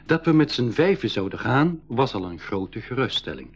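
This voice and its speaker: A man playing a young adventurer who narrates a scripted radio drama in the first person, with a husky voice. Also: medium pitch, very animated, normal speed. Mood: reflective. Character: boy adventurer narrating, privately anxious but keen. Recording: dull 1980s radio recording. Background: music.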